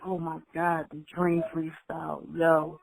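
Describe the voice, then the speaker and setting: A woman from Philadelphia with a Philadelphia accent, guesting on a podcast as a rapper. She answers in a relaxed, halting way and restarts often. A faint hiss sits behind her voice. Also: low-pitched, gravelly, poor audio. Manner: laid-back, halting, plain-spoken. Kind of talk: casual interview.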